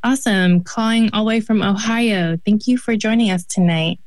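A woman's voice: medium pitch, normal speed, no room sound. Upbeat woman hosting a radio show. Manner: upbeat and encouraging. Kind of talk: hosting a group conversation.

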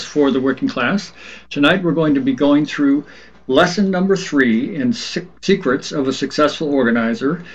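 A man hosting a radio show, reading a scripted text aloud in a measured way with occasional stumbles. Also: mid-range voice, dull audio.

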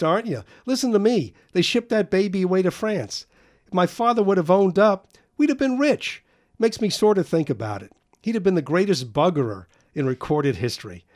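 A man's voice: medium pitch, lightly acted. A man reading an audiobook voices Eddie, a bitter young man who rants crudely and mockingly.

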